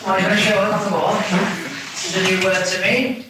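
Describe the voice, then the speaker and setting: A man's voice: high-pitched, steady tone, moderate pace, lightly acted. An actor playing a stern, bossy male schoolteacher questioning a pupil with sarcasm. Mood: brisk, demanding. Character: brusque male schoolteacher grilling a pupil in class. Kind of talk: film drama dialogue. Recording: echoey room with clear noise and clicks.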